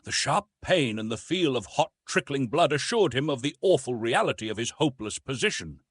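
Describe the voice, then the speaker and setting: A man's voice: medium pitch, animated, brisk, husky, dry recording, clean studio sound. A man narrating an adventure novel as an audiobook in a theatrical, suspenseful storytelling style.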